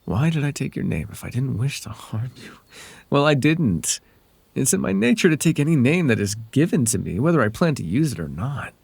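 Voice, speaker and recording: A man voicing a possessive fae royal in an ASMR roleplay, courtly and coaxing with soft menace under a silky, creaky voice. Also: mid-range voice, big pitch swings, brisk, dry recording, full character voice. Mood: smug, threatening. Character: possessive, silky male fae royal wooing a captive lover. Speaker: voice actor.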